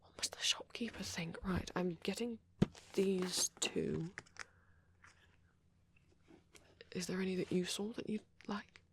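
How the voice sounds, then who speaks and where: A woman playing a shy, nervous vampire boy in a soft-spoken ASMR roleplay, with a hesitant, rambling, stammering delivery and a husky voice. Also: on the low side, fairly even intonation, moderate pace, background noise, close to an everyday voice. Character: shy, nervous young male vampire on a date.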